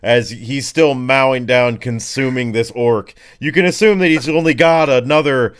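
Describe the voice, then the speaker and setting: A man's voice: mid-range voice, moderate pace, clean tone. A man running a tabletop roleplaying game as game master, narrating casually with slangy descriptions and vocal sound effects.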